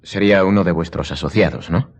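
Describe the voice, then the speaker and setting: A man playing a grave, formal questioner in a radio drama, with a husky voice. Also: low voice, brisk, dry recording. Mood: grave, probing. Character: grave, formal male questioner hearing testimony.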